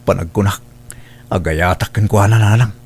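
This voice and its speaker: A man's voice: on the low side, moderate pace, a little mellow, slight background noise, natural voice. An actor playing an earnest adult son and father in an Ilocano radio drama, with a plaintive delivery.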